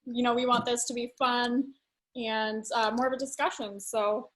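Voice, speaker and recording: A young woman hosts a virtual wine tasting, friendly and chatty. Her voice sits a touch high, and she talks with easy fillers. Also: steady tone, normal speed, poor audio. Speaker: host.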